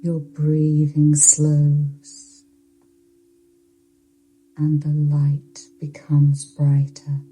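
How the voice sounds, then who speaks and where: A woman narrating a guided yoga nidra meditation in a soothing, hushed, unhurried voice with long pauses. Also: low-pitched, completely flat delivery, poor audio.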